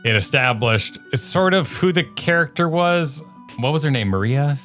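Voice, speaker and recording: A man with a bright, forceful voice comments casually on game footage as a co-host. His intonation is lively and his remarks are informal and analytical, with faint music underneath. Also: a little high, moderate pace. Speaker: co-host of a video game reaction show.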